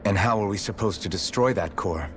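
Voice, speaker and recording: Low-voiced, earnest young hero, played by a man. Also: brisk, soft background music, natural voice. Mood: challenging. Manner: serious, plain-spoken, determined. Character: earnest, resolute young male fantasy hero. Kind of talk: scripted video game cutscene acting.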